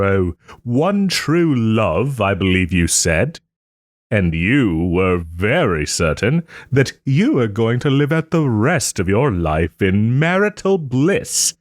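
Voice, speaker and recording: A man playing a proud, sarcastic nobleman in a scripted roleplay audio drama, with haughty, theatrical phrasing and pointed pauses. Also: medium pitch, dry recording, studio quality. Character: wry, wounded young nobleman lord, sarcastic and proud.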